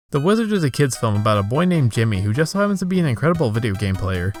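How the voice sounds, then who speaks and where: A man narrating a scripted comedic video review in a sarcastic, exasperated way. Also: mid-range voice. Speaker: video essay host. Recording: background music under voice. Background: music.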